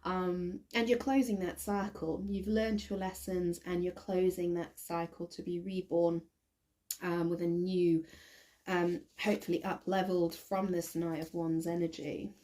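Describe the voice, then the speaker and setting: A woman with a croaky voice doing a casual tarot reading to camera, thinking aloud with many ums and restarts. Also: fairly low pitch, flat delivery, unhurried, dark tone. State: croaky, recovering from bronchitis. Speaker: tarot reader.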